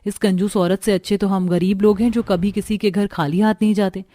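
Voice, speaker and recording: A woman's voice: medium pitch, steady tone, fairly quick, mellow, lightly acted. A woman voicing an outspoken, indignant young daughter-in-law from a poor family in a cartoon story. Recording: music under the voice.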